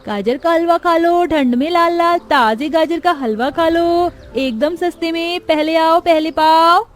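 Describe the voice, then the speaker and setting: A woman's voice: extremely high pitch, measured pace. A woman voicing poor, earnest young orphan sisters in a melodramatic moral-story cartoon. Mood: calling out.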